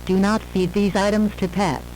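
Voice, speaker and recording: An emergency alert announcer reading a scripted warning bulletin in a formal, measured manner. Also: medium pitch, flat delivery, darkish tone, a little hiss or hum, rough recording.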